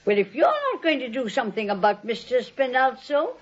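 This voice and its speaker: A woman's voice: a little high, huge pitch swings, fairly quick. An older woman playing a genteel, stubborn, dotty old aunt in old-time radio comedy, prim and matter-of-fact. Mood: firm, indignant. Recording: dull vintage radio recording.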